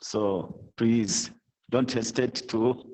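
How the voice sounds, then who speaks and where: A man with a husky voice speaks as a charity founder over a call. He is polite and warmly thankful in manner. His sound is slightly rough and unclear. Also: mid-range voice, fairly even intonation, slow, rough recording. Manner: effusively grateful, polite. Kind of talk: remote interview answer.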